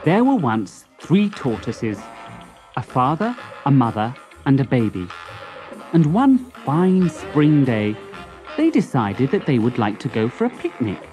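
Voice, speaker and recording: A woman reading a children's story expressively and deliberately, with dramatic pauses. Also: extremely low pitch, very animated, dark tone, faint music behind, poor audio.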